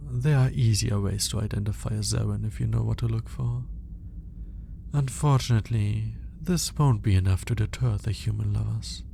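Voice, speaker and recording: A man performs an alien scientist in an intimate ASMR roleplay, studying with clinical curiosity and reluctant fascination. His voice is soft and mellow with a creaky, gravelly edge. He speaks slowly and with measured care, over a faint background noise. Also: fairly low pitch. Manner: clinical, measured, hesitant when flustered.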